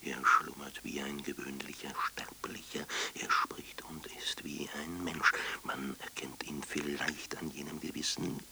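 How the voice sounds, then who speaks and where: An actor playing a sententious philosopher in a radio play, pondering solemnly and deliberately in whispery tones over audible noise. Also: male voice, very deep voice, level tone, gravelly, heavily acted. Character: sententious male philosopher pondering the righteous man. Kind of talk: 1990s German radio play monologue.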